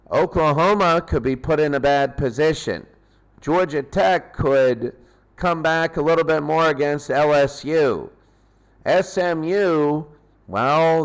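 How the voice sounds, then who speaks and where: A man hosting a sports pregame show, commenting in a loose, unscripted and halting way. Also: mid-range voice, smooth. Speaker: sports host.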